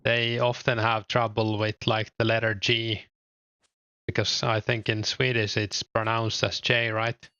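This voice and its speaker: Flat-voiced Finnish-accented young man streaming. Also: on the low side, unhurried, clear, resonant tone, no room sound, highs cut off. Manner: relaxed, hesitant, lots of fillers. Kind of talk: casual chat while gaming, reading chat.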